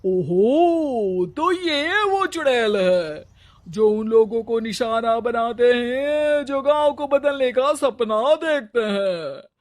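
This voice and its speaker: Cartoon fake holy man, high-pitched and unhurried, sinister pronouncements. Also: lively intonation, full character voice. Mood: menacing. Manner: ominous and drawn-out, later stammering. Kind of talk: cartoon voice acting.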